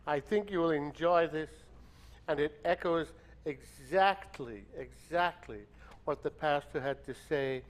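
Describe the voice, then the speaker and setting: A man leading a congregation in a hymn, speaking and then singing gently and unhurriedly in a church. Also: on the high side, measured pace. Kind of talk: introducing and singing a hymn with guitar. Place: church sanctuary.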